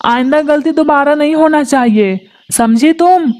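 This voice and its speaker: A woman's voice: high voice, unhurried, darkish tone. A woman voicing a stern, cold father bird in a cartoon, speaking in a scolding, commanding manner.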